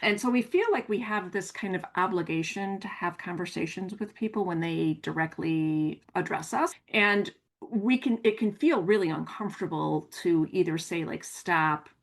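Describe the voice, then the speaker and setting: A woman's voice: lowish voice, moderate pace. An American woman co-hosting a podcast, explaining things conversationally in a reassuring way. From American Midwest. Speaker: podcast co-host, pet behavior consultant.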